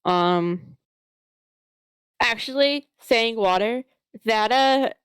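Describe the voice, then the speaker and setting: A woman's voice: fairly high pitch, very slow, fairly bright tone, clean tone, no room sound. Tired young woman, East Coast American accent. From East Coast of the United States. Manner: blunt, swears casually, tired. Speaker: podcast co-host. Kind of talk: casual chat among friends. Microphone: own mic on a voice call.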